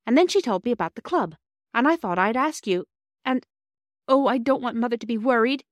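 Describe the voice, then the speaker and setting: A woman's voice: on the high side, expressive, fairly quick, no room sound, clean studio sound, voice pushed up, natural voice. A woman voicing an earnest, polite young girl in a storybook, hesitant and eager. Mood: anxious, earnest. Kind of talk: storybook character dialogue.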